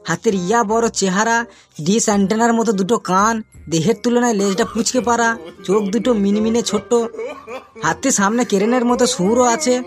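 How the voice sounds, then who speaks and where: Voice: mid-range voice, lightly acted. A performer voicing a cocky, mischievous schoolboy in a comic cartoon, boastful and recitational, with a Bengali accent.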